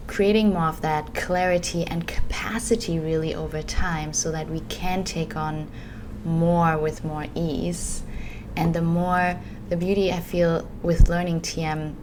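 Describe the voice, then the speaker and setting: A woman with a low voice, a meditation teacher talking on a podcast. Her speech flows loosely and is full of small fillers as she builds each thought. There is a faint hum of noise underneath. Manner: flowing, with many "you know" and "like" fillers. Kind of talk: casual podcast conversation.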